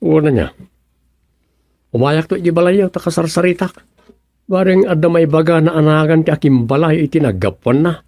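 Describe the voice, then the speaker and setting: Village everyman, fairly high male voice, helpful, exasperated, questioning, colloquial, radio comedy, dry studio sound. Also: normal speed, pauses often, darkish tone, no room sound. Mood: concerned. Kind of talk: Ilocano radio comedy drama acting.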